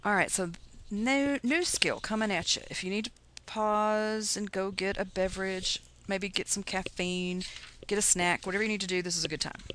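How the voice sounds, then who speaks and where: A woman's voice: mid-range voice, a bit bright. A woman with a Texan accent giving an informal recorded lesson as a teacher, chatty and folksy as she explains. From Texas, USA. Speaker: chemistry teacher.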